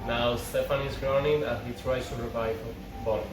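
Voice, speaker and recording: A man with a medium-pitched voice hosts an amateur table read, delivering lines and directions haltingly. He is distant from the mic in a roomy, echoing space, with music clearly playing behind him. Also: steady tone. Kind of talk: amateur script read-aloud with stage directions.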